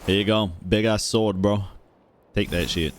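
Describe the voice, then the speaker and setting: A man's voice: fairly low pitch, steady tone, a little slow, a little hiss or hum. A young man streaming a game, casually reacting, swearing and thinking aloud.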